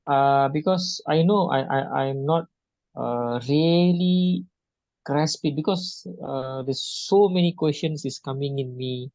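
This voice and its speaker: A man's voice: fairly high pitch, slow, a little mellow, dry recording. Hesitant man from Malaysia on a call. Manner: hesitant, many restarts and fillers. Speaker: session participant. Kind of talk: halting personal sharing. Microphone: video call. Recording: dull lo-fi call audio.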